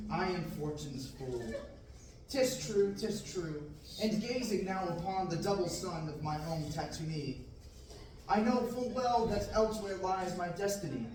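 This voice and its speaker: Young man as a dreamy farm boy, high voice, echoey classroom from across the room, faint hiss. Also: recorded from a distance. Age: young adult. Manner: earnest, declaiming, occasional slips. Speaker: student actor at a table reading. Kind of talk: reading Shakespearean verse aloud. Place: large classroom, indoors. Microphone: far camera.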